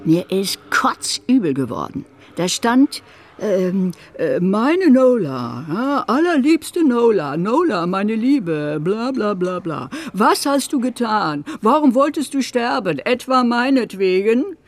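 An actress playing a domineering, sharp-tongued middle-aged mother in a radio drama, scolding bluntly and coarsely. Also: mid-range voice, very animated, slow pace. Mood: mocking, agitated.

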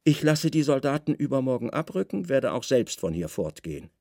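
A man acting weary, imperious Roman governor, gravelly. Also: mid-range voice, sing-song, fairly quick, mellow, no echo, clean studio sound. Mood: weary, irritated. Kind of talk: literary radio drama.

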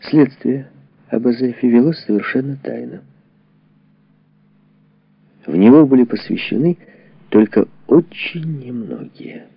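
A man narrating a historical memoir as an audiobook in a dramatic, deliberate way, with a husky, gravelly voice and telling pauses. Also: medium pitch, no room sound. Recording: dull, lo-fi, dry audio.

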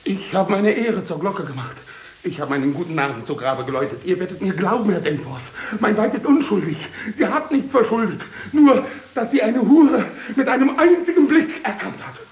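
An actor playing a passionate, guilt-ridden husband and farmer in a theatrical 1950s German radio drama. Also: male voice, high-pitched, animated, moderate pace, darkish tone. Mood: impassioned. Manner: impassioned, theatrical. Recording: echoey, faintly hissy 1950s radio recording.

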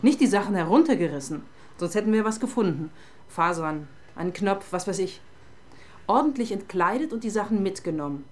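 A woman's voice: medium pitch, lively intonation, brisk, a little mellow, lightly acted. An actress playing a sardonic, businesslike female police inspector in charge, in a German radio crime drama.

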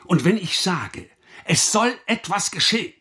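A menacing, self-important schoolmaster for a radio drama. He speaks slowly in a fairly high male voice with big swings of pitch, leaning hard on his words and taunting with questions. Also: sing-song, bright, forceful tone, exaggerated voice. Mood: boastful, threatening. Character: cruel, menacing middle-aged male schoolmaster, bullying tyrant. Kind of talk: German radio drama acting.

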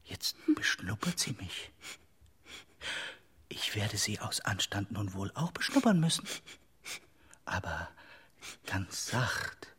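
A man's voice: fairly low pitch, big pitch swings, slow, long pauses, a bit bright, husky, heavily acted. Meek, fussy little man. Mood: reluctant politeness. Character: meek, polite, put-upon little man, fastidious. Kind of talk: German radio drama acting.

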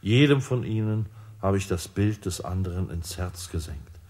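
An actor playing a solemn male creator god in a radio drama, speaking in a measured, authoritative way. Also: sits low, a little mellow.

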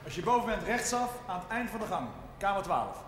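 A long, noisy reverb surrounds a helpful porter in a seventies television drama. His voice is high for a man, with a plain, familiar manner. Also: very animated, brisk. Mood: helpful, businesslike. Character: helpful, familiar male porter giving directions. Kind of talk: naturalistic 1970s TV drama acting.

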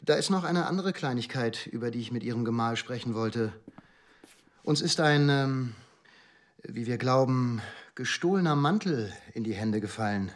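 A man's voice: mid-range voice, lively intonation, normal speed, lightly acted. A man playing a formal, insistent police inspector who questions in a clipped way in a radio crime drama.

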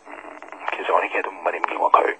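An actor playing a worried, considerate friend in a radio drama, apologetic and hesitant, with a Hong Kong Cantonese accent. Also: male voice, low voice, flat delivery, rapid-fire, noisy background, lightly acted. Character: worried, considerate male friend on a late-night call. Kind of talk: naturalistic radio drama acting.

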